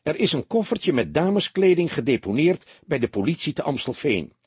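A man voicing an eager, excitable younger police detective reporting in an audiobook. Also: fairly high pitch, a little fast, slightly rough, dry recording, pitched above the performer's own voice, exaggerated voice. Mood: excited.

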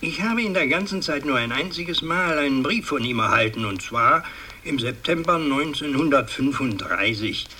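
An older aristocratic head of the family in a radio play, heard a little away from the mic over faint hiss. He is stern and fussy, with formal, halting phrases and a widely swinging pitch. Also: bright, forceful tone, far from the mic. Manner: formal, pompous, halting with hums and pedantic repeats. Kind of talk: radio drama monologue, addressing a family meeting.

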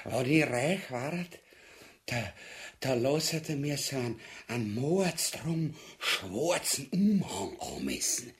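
A man's voice: medium pitch, big pitch swings, takes it slowly. An actor with an Austrian accent playing a vain, crude ex-king and captain in a farcical radio play, pompous and bumbling, repeating himself. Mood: dreamy muttering. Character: blustering, vain, crude, easily tempted ex-king and dragoon captain. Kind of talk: farcical radio play acting in broad dialect.

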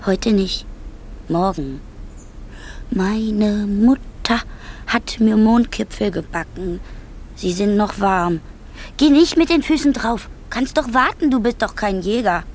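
An actress playing a simple-minded, childlike, dreamy camp inmate in a naive, absent-minded, halting way in a radio drama. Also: female voice, medium pitch, lively intonation, a little hiss or hum. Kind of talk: literary radio drama acting.

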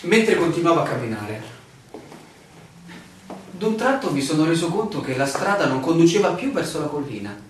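In a very echoey, distant radio play recording, a weary male stranger recounts his bewildering walk. His pitch swings animatedly as he tells it with deliberate, theatrical emphasis. There is a faint layer of noise. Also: sing-song. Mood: weary, absorbed recounting. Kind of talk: theatrical radio drama monologue.

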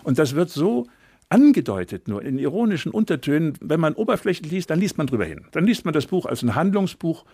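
A man's voice: a little high, big pitch swings, a little fast, warm tone, breathy edge, no echo, studio quality. A man speaking conversationally as an interview guest, explaining a book and quoting lines as he goes. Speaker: literary translator, interview guest.

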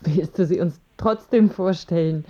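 An actress in a radio drama playing a sorrowful mother with a breathy, hushed voice. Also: lowish voice, unhurried, highs cut off. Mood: strained, hesitant. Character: sorrowful mother of a sick boy.

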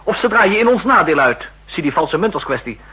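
Driven accuser, high and very animated, emphatic, formal, husky grain, 1960s radio play. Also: male voice, a little fast, full character voice, poor audio. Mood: insistent, biting. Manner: pointed, emphatic, biting, formal. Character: driven, insistent male counter-intelligence official making accusations. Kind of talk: 1960s radio drama acting. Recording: rough old 1960s radio recording.

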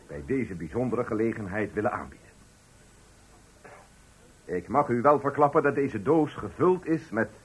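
A man's voice: mid-range voice, a bit bright, slightly rough, heavily acted, poor audio. A man playing a pompous, patronizing company director, speaking with unctuous ceremony in a Dutch radio play. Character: pompous, patronizing male company director giving farewell speech. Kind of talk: Dutch radio play, formal speech acting.

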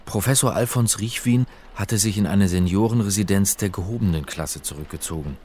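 Guy playing earnest academic in a radio drama, lowish voice, measured, bit uneasy. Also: darkish tone, a little hiss or hum, lightly acted. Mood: brooding, tense. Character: earnest, uneasy male academic narrating his search. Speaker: actor and first-person narrator. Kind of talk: radio drama narration and dialogue.

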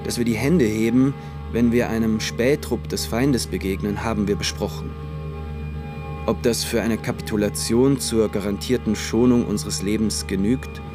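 A man reading scripted first-person literary narration for a radio play in a measured, sober manner. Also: lowish voice, steady tone. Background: music.

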